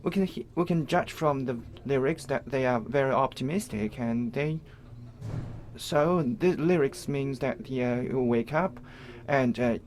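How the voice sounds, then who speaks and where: Halting Chinese-accented man interpreting live. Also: mid-range voice, a little mellow, a little hiss or hum. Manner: halting, piecemeal phrasing with repeated false starts. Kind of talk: live interpreting of event coverage.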